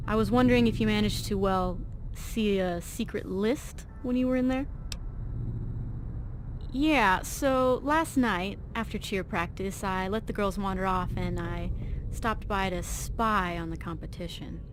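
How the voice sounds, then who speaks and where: A woman's voice: medium pitch, a little slow, a little hiss or hum, lightly acted. A young woman playing an anxious, driven teenage vault girl in video game dialogue, chatty, earnest and slangy. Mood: friendly, tentative, then confiding.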